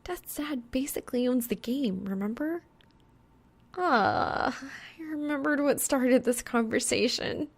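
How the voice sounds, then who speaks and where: A woman voicing a mortified, dramatic young woman gamer who veers between flat monotone and thin whimpering. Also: sits high, measured pace, pitched above the performer's own voice, full character voice. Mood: rueful. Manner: thin-voiced, whimpering, dead-eyed monotone.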